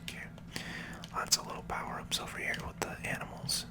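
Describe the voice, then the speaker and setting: A person whispering soft, unhurried ASMR commentary while narrating a video game playthrough step by step.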